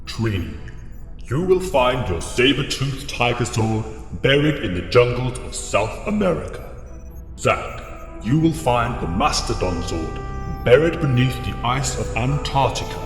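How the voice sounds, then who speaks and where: A grave, commanding alien mentor played by a man gives formal, measured orders. The voice rings with long reverb, and loud music plays behind it. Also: medium pitch, animated. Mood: solemn, commanding. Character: solemn male alien mentor commanding young heroes. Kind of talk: audio drama acting.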